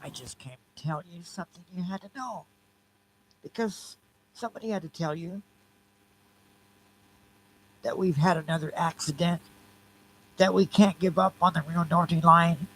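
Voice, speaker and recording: A man acts out an earnest railroad employee in an audio play. He speaks in a hushed, urgent way, insisting on bad news with quiet alarm. There is noise behind the voice. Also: on the high side, normal speed, pauses often, voice pushed up, low-quality recording. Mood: urgent, pleading.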